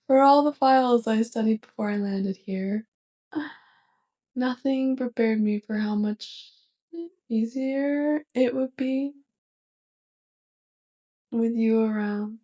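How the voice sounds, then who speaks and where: Girl doing a sweet awkward alien roommate for asmr, slow and hesitant, mellow kinda higher voice. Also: steady tone. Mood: fond, earnest. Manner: gentle, hesitant, halting delivery. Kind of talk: soft ASMR roleplay.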